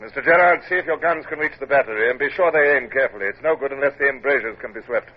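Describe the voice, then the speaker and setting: An actor playing a stern British naval captain in a radio drama, speaking in a clipped, formal, commanding way, with measured narration. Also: male voice, mid-range voice, slightly rough, natural voice. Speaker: lead actor and first-person narrator. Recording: muffled, noisy vintage radio recording.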